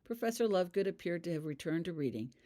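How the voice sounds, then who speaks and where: A woman's voice: lowish voice, animated, quick pace, warm tone. A woman reading scripted fiction aloud as an audiobook narrator. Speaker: author reading their own fan fiction.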